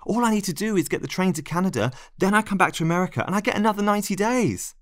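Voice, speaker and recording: A man's voice: a little high, slow, no echo, clean studio sound, pitched above the performer's own voice. A man acting out a lovesick man who pleads desperately and refuses to accept rejection, in a dramatized monologue. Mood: pleading, insistent.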